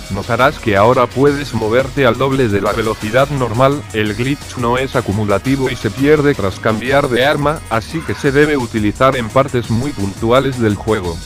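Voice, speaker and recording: A man narrating, explaining a game glitch in an instructive, step-by-step way. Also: mid-range voice.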